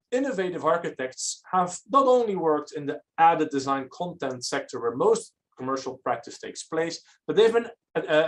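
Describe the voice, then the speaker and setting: A man from Belgium, giving an academic architecture lecture in a measured, explanatory manner. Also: fairly high pitch, poor audio.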